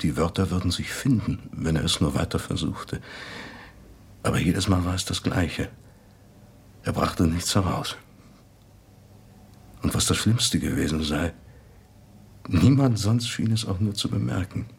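A thoughtful man in a reflective radio play, looking back on a friend. His voice is low and very husky, and he speaks in measured, articulate phrases. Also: heavily acted. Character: thoughtful man recalling a late intellectual friend. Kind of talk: reflective radio drama monologue.

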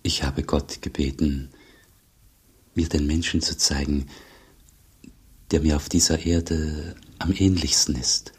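Very deep, breathy old monk. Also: male voice, steady tone, slow pace, pauses often, heavily acted. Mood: humble, pleading. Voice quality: very husky, breathy. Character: humble, earnest elderly monk, quietly pious. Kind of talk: German radio play acting.

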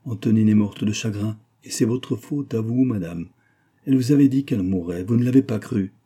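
Man playing a grave old female servant, husky. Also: lowish voice, brisk, warm tone. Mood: accusing grief. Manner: solemn, plain-spoken, firm.

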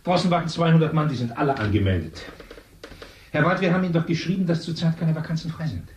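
A man's voice: on the high side, quick pace, far from the mic, slight background noise, lightly acted. A man playing a curt agency clerk. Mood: brusque. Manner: curt, condescending, brushing off. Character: brisk male casting-agency clerk, dismissive. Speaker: agency secretary. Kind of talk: 1970s radio play acting. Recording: echoey room, off-mic.

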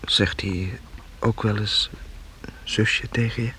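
A man's voice: fairly low pitch, fairly even intonation, slow pace, sharp tone, slightly rough, exaggerated voice. A man playing an earnest, modest young man in a radio play, speaking hesitantly and informally. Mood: shy, earnest.

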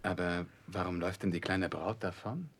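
A charming young gentleman in a radio drama. His voice sits fairly low, and he speaks with easy command and polished, confident phrasing. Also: steady tone, moderate pace. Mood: gallant, cheerful. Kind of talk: 1960s German radio play acting.

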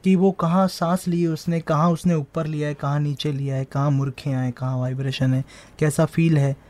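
A young man from India, a singer giving a radio interview and offering earnest, gently emphatic advice in casual talk. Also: fairly high pitch, flat delivery, normal speed. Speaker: singer, guest. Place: radio studio.

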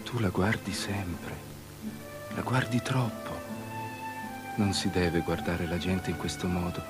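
Very deep, foreboding page. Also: male voice, fairly even intonation, takes it slowly. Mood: anxious warning. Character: foreboding page, uneasily warning his friend. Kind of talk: poetic stage-style radio drama acting. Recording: radio drama over loud music.

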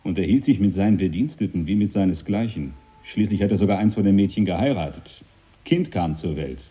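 An actor playing a stern, devout British naval captain in a radio drama, brusque, blunt and commanding. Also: male voice, low voice, lively intonation, brisk, husky, narrow, tinny audio. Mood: scornful.

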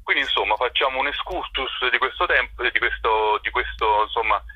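Man, interviewed theatre director, husky voice, discursive and enthusiastic, roomy telephone sound. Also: mid-range voice, a little fast. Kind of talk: radio phone interview, spontaneous explaining. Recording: muffled, roomy telephone line on radio.

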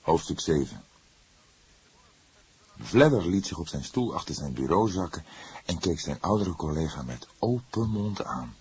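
A man reading a detective story aloud as a scripted audiobook narration, with a husky voice. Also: deep voice, sing-song, measured pace, pauses often, a little mellow.

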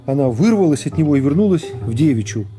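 A middle-aged man narrating a classic novel for radio in a measured, dramatic storytelling manner. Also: a little high, big pitch swings. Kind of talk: literary narration in a radio play. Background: music.

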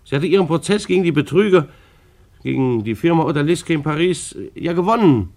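An actor in a radio play playing a plain-spoken, law-abiding bailiff with a hesitant, conversational manner. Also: male voice, on the high side, lively intonation, brisk, darkish tone. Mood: regretful, narrating.

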